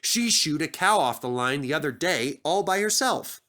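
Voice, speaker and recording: Bright, animated male storyteller. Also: fairly high pitch, moderate pace. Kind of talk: scripted children's story narration.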